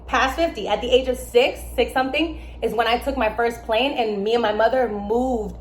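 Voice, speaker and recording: A young adult woman, born in Germany and raised in America, explaining astrology in a casual, emphatic way with lively asides. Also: fairly high pitch, normal speed, a little hiss or hum. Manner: animated, emphatic, with asides and laughs. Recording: echoey room with faint ambience.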